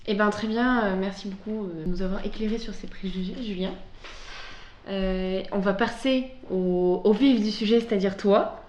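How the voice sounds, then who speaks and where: A woman's voice: mid-range voice, moderate pace, a little mellow, muffled recording. A woman hosting a podcast, speaking casually and conversationally as she interviews a guest. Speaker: podcast host interviewing a craftsman. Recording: roomy, echoing space.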